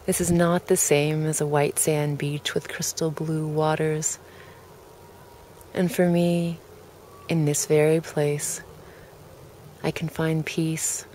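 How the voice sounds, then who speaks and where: A woman leading a guided relaxation in a calm, gentle way, with deliberate, pausing phrases. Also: low-pitched, a little hiss or hum. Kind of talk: guided meditation, soft spoken invitation.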